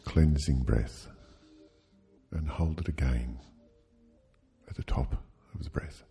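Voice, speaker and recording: A man leading a guided sleep hypnosis, speaking soothingly and gently in short, halting phrases with long pauses. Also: rumbling low, vocal fry, soft background music.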